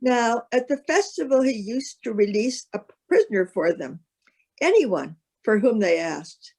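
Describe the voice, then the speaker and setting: A woman narrating a solemn Bible reading as a scripted piece, with measured, careful phrasing. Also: on the high side, very animated, warm tone, husky.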